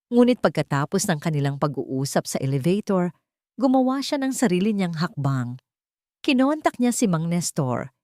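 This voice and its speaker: A woman's voice: on the low side, mellow, dry recording, clean studio sound. A woman reading a scripted dramatic story as its narrator.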